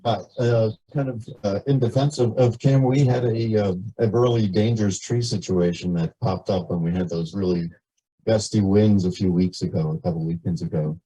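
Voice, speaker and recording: A man's voice: on the low side, moderate pace, warm tone, slightly rough, rough recording. A man resident making an informal, hesitant comment at a community meeting.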